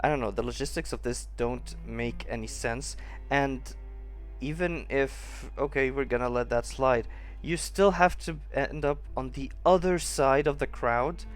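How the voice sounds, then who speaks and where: A man giving casual, improvised gaming commentary as a streamer, sceptical and halting as he thinks aloud. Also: medium pitch, faint music behind. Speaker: gaming streamer reacting to a playthrough.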